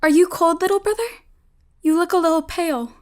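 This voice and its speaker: A clever young girl in an audio melodrama. Her voice is high and politely obedient, with a knowing, bossy big-sister edge. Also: steady tone, fairly quick. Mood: sly, knowing. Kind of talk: melodramatic audio drama acting.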